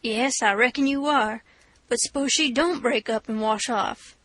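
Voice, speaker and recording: A woman's voice: fairly high pitch, a little slow, a bit bright, no room sound. A woman voicing a hot-headed grown-man thief who talks bluntly in folksy dialect. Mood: insistent.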